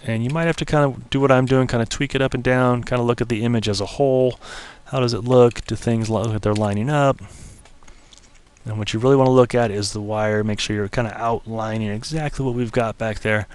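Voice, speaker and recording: A man giving a relaxed, step-by-step screen-recorded software tutorial, slightly losing his voice and clearing his throat now and then. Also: medium pitch, a little fast. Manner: relaxed, step-by-step explaining with casual fillers.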